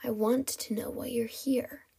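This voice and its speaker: A woman voicing a menacing, mocking male kidnapper in a hollow, breathy hissing whisper for a story reading. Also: a little high, steady tone, dark tone, heavily acted. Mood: sinister mocking. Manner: taunting, drawn-out menace.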